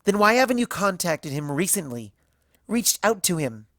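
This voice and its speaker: A narrator voicing an indignant young female unicorn student in a story, sardonic and accusing, with sharp, cutting questions. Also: medium pitch, sing-song, moderate pace, no echo, clean studio sound, pitched above the performer's own voice.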